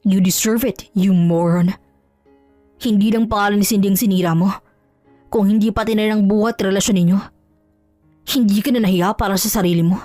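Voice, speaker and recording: A woman's voice: medium pitch, steady tone, brisk, pauses often, dark tone, no echo, lightly acted. A woman voicing a cold, powerful male company boss who speaks in a cutting, commanding way. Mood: scornful. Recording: dry, faint music underneath.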